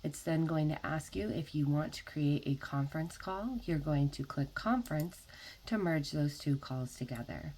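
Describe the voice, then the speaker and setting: A woman narrating an instructional software tutorial as a trainer, explaining methodically one step at a time. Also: deep voice, poor audio.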